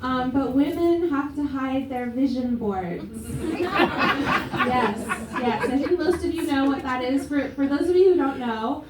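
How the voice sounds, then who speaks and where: A young Canadian woman with a high voice works through a self-mocking stand-up set at a slow, deadpan pace. Her voice is picked up from a distance in an echoey comedy club. The crowd is loud, and there is steady background noise. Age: young adult. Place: comedy club stage, audience laughing. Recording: roomy live club sound from a distance.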